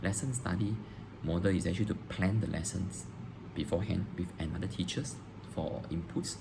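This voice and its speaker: A man, a teacher answering interview questions on video, pausing often with ums and restarting phrases. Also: sits low, creaky. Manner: hesitant, frequent ums and restarts. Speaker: teacher applying for a job. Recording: noisy background hiss.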